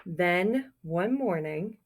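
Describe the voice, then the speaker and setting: A woman reading a picture book aloud to young children like a teacher, expressive and encouraging, pausing to put questions to her listeners. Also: fairly low pitch, noisy background. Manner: expressive, encouraging, exclaims softly over pictures.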